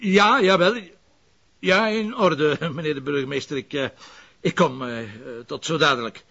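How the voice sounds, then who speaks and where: An actor playing a stubborn, sarcastic Flemish deputy police commissioner with a dry, insubordinate manner in an audio drama. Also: male voice, on the high side, unhurried, gravelly, bright, forceful tone, breathy edge, heavily acted. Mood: grumbling. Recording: muffled, dull audio.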